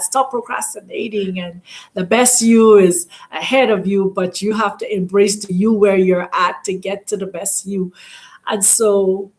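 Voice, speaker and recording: A woman from the Bahamas speaks in a loose, informal monologue as a channel host. Her voice sits at a medium pitch, and she rambles, often stopping to hunt for the right word before carrying on. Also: poor audio. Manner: rambling, often pausing to search for words.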